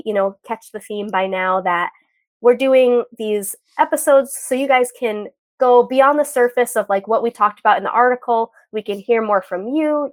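Upbeat higher-voiced female podcast host. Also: no room sound. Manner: upbeat and chatty. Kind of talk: casual podcast interview. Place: home, recording remotely. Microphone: video call.

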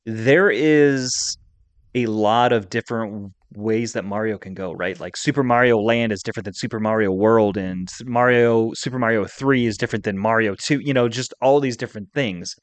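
A man's voice: fairly low pitch, moderate pace, dry recording. A man co-hosting a podcast, chatting casually in a talkative, rambling, conversational way. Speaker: podcast co-host.

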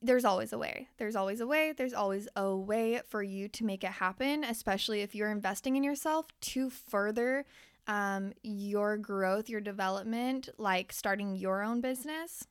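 A woman's voice: medium pitch, normal speed, clear, resonant tone, dry recording, studio quality. A young woman podcast host and life coach who is losing her voice. She talks candidly and swears freely as she speaks straight to her listeners. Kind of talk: casual motivational podcast monologue.

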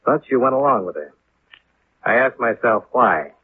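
An American man playing a terse, world-weary insurance investigator in a 1950s radio detective drama, speaking in a clipped, deadpan way. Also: mid-range voice, moderate pace, husky, no room sound. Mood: probing, accusing. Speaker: lead radio actor and narrator. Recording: muffled, narrow-band vintage 1950s radio.

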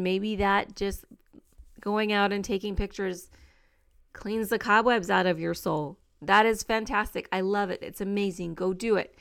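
A woman's voice: medium pitch, creaky, clean tone. A woman hosting a solo podcast, talking to listeners in an earnest, upbeat, encouraging way, with plenty of fillers. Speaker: podcast host.

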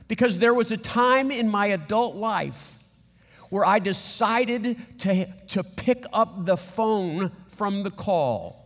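A man preaching a sermon, emphatic and animated, often repeating words as he drives points home. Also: sits high, big-room sound. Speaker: pastor.

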